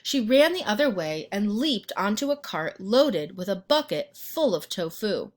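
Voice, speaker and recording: A woman narrating a children's picture book as an expressive read-aloud storyteller. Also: medium pitch. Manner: pauses to ask the listener questions and point out pictures.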